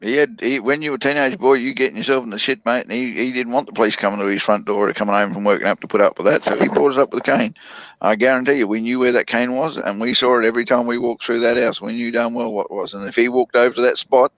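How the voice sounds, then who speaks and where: A man talks in a relaxed group conversation, often stopping to restart his sentences. His voice sounds a little dull and close, with no room around it. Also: medium pitch, no room sound. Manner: halting, with many restarts and fillers. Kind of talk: casual group chat.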